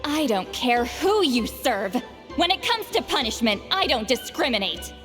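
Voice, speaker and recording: A woman's voice: high-pitched, sing-song, unhurried. A woman voicing a centuries-old female shaman with a young voice, cutting and threatening. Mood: menacing. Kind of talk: game cutscene voice acting. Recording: game audio with music.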